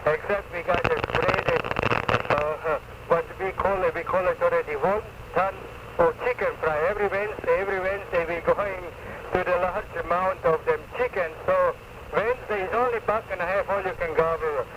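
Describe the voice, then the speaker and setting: A man who is not a native English speaker, talking haltingly with irregular grammar as a radio guest promoting his restaurant. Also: high voice, fairly even intonation. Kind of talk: casual promotional interview. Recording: noisy muffled 1970s AM radio cassette recording.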